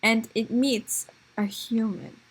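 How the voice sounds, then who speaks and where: A woman improvising comic stories for a puppet show in a giggly, halting way. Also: on the high side, steady tone, husky, low-quality recording. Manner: giggly, halting, making it up as she goes.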